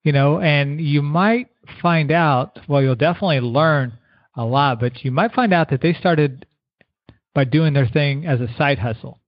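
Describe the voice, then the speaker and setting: A middle-aged man, a keynote speaker guest giving earnest motivational advice, repeating words and slowing down to stress his points. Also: fairly high pitch, no room sound. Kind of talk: motivational interview answers. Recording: dull lo-fi radio sound.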